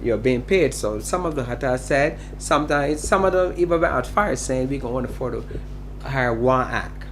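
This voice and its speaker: A man, a hotel band musician, recounting events haltingly in casual conversation. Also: mid-range voice, expressive, slight background noise. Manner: recounting, halting with many fillers.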